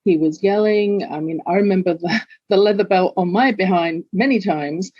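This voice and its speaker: A woman's voice: medium pitch, moderate pace, dry recording, highs cut off. Older London woman, candid and earnest. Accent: London English. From England. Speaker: guest. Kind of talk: candid personal storytelling.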